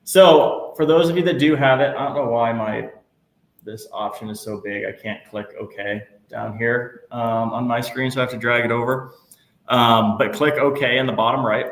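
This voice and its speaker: A man instructor walking a live class through software steps, patient and reassuring. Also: medium pitch, fairly even intonation, moderate pace. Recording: echoey room, faint noise.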